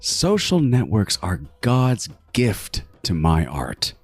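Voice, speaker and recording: Man playing a low-voiced, gloating serial killer. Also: wildly swooping pitch, husky, soft background music, exaggerated voice. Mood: smug, sly. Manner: theatrical, savoring, drawn-out phrases with swooping emphasis. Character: meticulous, gloating male serial killer, self-styled artist. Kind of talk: first-person horror audio drama monologue.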